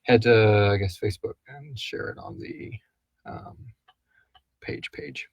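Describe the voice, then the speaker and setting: A man's voice: lowish voice, slow, vocal fry, a little mellow, dry recording. A man hosting a casual live stream, chatting informally and chummily with viewers in a rambling way. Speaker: podcast host answering listener questions.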